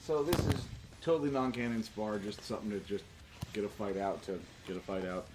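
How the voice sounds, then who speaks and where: A man's voice: fairly low pitch, fairly even intonation, a little fast, music in the background, poor audio. A man casually running a tabletop role-playing game as game master, joking and swearing freely. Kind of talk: casual, joking live-streamed game narration. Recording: clear background noise, keyboard and mouse clicks.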